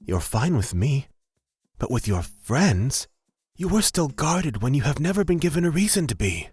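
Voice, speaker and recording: A man's voice: on the high side, very animated, moderate pace, darkish tone, slightly rough, dry recording, faint music behind, full character voice. A man playing a humble, laid-back elf wanderer in a fantasy audio drama, reflective and probing. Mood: frank, challenging.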